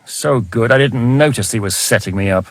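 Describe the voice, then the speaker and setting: An actor playing a wry, battle-hardened mercenary captain in a game, speaking in a measured, world-weary way. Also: male voice, medium pitch, dry recording, lightly acted. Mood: measured, grim. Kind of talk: dramatic game dialogue.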